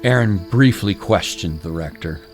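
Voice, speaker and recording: A man narrating a scripted dramatic story in a solemn, deliberate way. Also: deep voice, expressive. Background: clear music.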